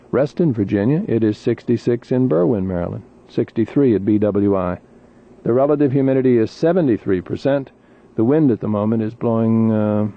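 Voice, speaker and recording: A man's voice: fairly low pitch, vocal fry. A man with an American accent hosting an overnight radio show, chatting in a conversational way and reading news and weather. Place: radio studio. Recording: tinny 1980s radio aircheck tape.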